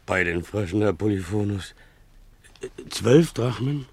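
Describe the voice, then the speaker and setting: Simple poor donkey driver, slow, lilting up and down, bewildered, meek, wheedling, 1950s radio drama. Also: male voice, lowish voice, lively intonation, husky, heavily acted. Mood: astonished. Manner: slow-witted, hesitant, protesting meekly. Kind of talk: 1950s German radio play acting.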